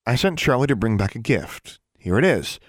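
A man plays a young girl witch in a storybook, pitched up from his own voice. She is headstrong and sarcastic, arguing with defiant emphasis in a dry recording. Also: lively intonation, brisk, a little mellow, no room sound.

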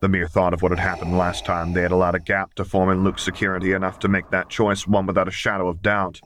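A man's voice: low voice, monotone, quick pace, fairly bright tone, a little hiss or hum. A man reading scripted fiction aloud as an audiobook narrator. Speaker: audiobook reader.

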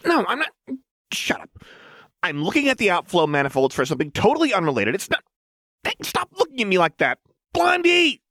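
A brash, agitated young woman from a fantasy story, acted out by a male reader. The voice sits far higher and bristles with sullen, snappish energy. It is recorded dry and close. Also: huge pitch swings, sharp tone, dry recording, heavily acted. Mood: irritated, defensive. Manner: sullen, snappish, fast muttering outbursts.

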